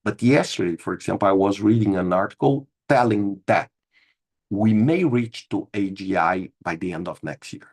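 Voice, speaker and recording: Husky-voiced man, emphatic webinar host. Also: fairly low pitch, moderate pace, darkish tone. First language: Portuguese. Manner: emphatic, repeats words, says "okay?" a lot. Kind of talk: informal webinar talk answering chat questions. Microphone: video call.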